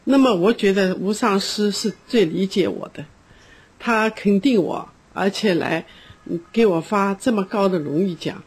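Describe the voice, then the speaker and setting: A schoolteacher speaking earnestly in an interview, often pausing with hesitations. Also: female voice, medium pitch, a little mellow, slightly rough. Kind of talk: interview testimony.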